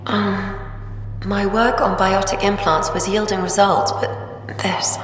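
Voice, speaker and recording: An actress playing a quiet, resigned scientist wife who speaks hesitantly but firmly. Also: female voice, medium pitch, flat delivery, takes it slowly, over music, lightly acted. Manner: quiet, hesitant, gently firm. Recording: game audio in an echoey space with long reverb.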